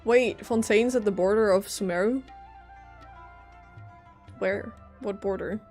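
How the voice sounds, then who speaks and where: A woman's voice: medium pitch, slow pace, a little mellow, soft background music. A woman livestreaming a game with casual, reactive commentary, informally musing aloud as she plays. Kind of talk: casual live gameplay commentary.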